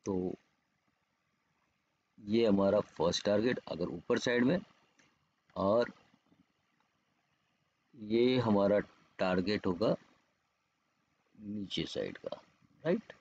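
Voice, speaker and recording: A man's voice: fairly low pitch, extremely slow, pauses often, a little mellow, a little hiss or hum. Man, muffled lo-fi voice-over. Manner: explanatory, with repeated check-in tags. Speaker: stock trading educator and host. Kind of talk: informal market analysis over screen charts. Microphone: voice-over on screen recording.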